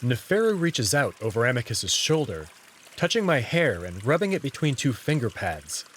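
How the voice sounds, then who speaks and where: A man's voice: mid-range voice, sing-song, normal speed, fairly bright tone, slight background noise. A man reading scripted first-person narration for a visual novel game.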